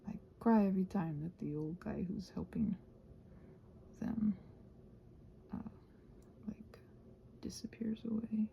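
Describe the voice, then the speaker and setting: A soft-spoken woman chatting casually in an ASMR craft ramble, often slipping into whispers as she hesitantly thinks aloud. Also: mid-range voice, takes it slowly, vocal fry, pauses often, lo-fi sound, a little hiss or hum. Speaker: host of a craft ramble channel. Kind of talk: casual rambling chat while painting, ASMR.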